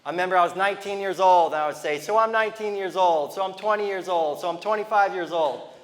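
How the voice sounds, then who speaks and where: A man's voice: high-pitched, fast, big-room sound. A man in his thirties from Ohio with a lisp, doing a stand-up comedy set in a loose, rambling way with asides to the crowd. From Toledo, Ohio, US. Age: 30s. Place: comedy venue with a live audience.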